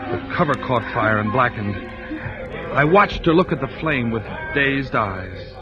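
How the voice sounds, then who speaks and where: An actor playing an anguished husband and father, narrating in a grave, deliberate 1940s radio style. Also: medium pitch, expressive, close to an everyday voice. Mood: somber narration. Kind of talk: 1940s radio acting, first-person narration. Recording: muffled old radio broadcast, music behind.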